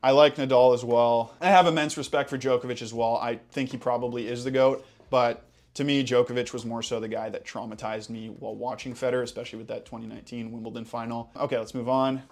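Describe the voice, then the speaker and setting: A young man with an American accent answers viewer questions in a relaxed vlog. He talks with easy enthusiasm, dropping in casual fillers along the way. His voice sits at an ordinary middle pitch. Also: fast, few pauses. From Hawaii. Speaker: tennis channel host.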